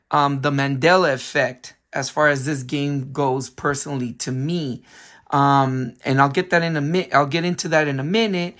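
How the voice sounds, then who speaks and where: Hoarse, chatty man reviewing games. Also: a little high, a bit bright. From San Francisco Bay Area. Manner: chatty, many ums, restarts himself. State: hoarse, recovering voice after a cold. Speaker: retro game collector channel host. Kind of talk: casual video game review.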